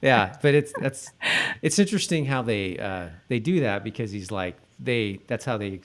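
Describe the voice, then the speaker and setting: A man co-hosting a podcast in casual chat, halting, often repeating words and trailing off. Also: on the low side, animated. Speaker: podcast co-host.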